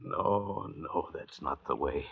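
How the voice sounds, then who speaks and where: Deep-voiced, slow, brooding castaway doctor. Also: male voice, gravelly, husky, exaggerated voice. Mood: grave, brooding. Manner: grave, lofty, deliberate. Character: educated, proud, brooding doctor adrift as a castaway. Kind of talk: old-time radio drama acting. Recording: muffled vintage radio recording.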